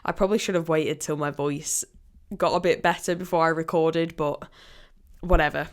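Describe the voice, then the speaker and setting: Woman, podcast host, low voice, croaky throat, chatty and rambling. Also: steady tone, normal speed. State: croaky from a recent illness. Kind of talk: solo health podcast talk.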